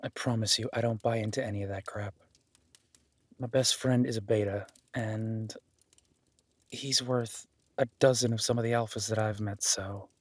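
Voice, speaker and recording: A man's voice: on the low side, fairly even intonation, moderate pace, no echo, lightly acted. A man playing an awkward, self-deprecating young werewolf duke in a roleplay audio drama, stammering and apologetic, speaking informally to the listener. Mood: earnest, reassuring.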